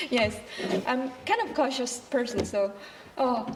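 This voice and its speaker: A woman who is not a native English speaker talks slowly and carefully, restarting her sentences as she searches for words. Her voice sits a little high and comes from some distance off the mic. A faint hum of room noise lies beneath her. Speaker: panelist. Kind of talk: panel discussion answer.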